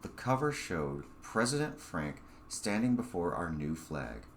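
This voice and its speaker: A man reading his own short story aloud as a first-person narrator, with halting phrasing and dramatic pauses. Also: sits low, big pitch swings, gravelly, a little hiss or hum.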